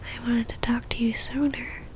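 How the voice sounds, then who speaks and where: A woman voicing a lovestruck, possessive vampire in a soft, breathy ASMR whisper, speaking intimately and coaxingly close to the microphone. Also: a little high, level tone, normal speed, a little hiss or hum, natural voice. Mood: tender, lovestruck. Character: possessive lovestruck female vampire stalker, centuries old.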